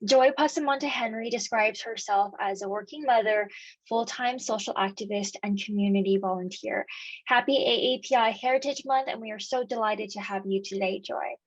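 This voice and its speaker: A woman's voice: mid-range voice, normal speed, dry recording, poor audio. A woman hosting an interview, asking long polite questions with plenty of hesitations. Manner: polite, uses many um fillers while asking long questions. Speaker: interviewer, host.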